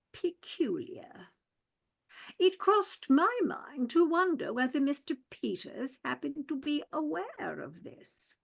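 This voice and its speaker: Stiff, deferential old butler voiced by a woman; high, gravelly, very slow, melodic delivery for a radio play. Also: full character voice. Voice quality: stiff, ornate politeness, hushed asides. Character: formal, deferential male butler, older.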